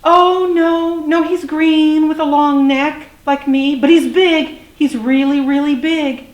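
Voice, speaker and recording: A woman's voice: high voice, steady tone, takes it slowly, reverberant, voice pushed up. A woman voicing a small, frightened, earnest boy dinosaur who pleads for help in a storybook tale. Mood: anxious pleading. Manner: wailing calls, pleading.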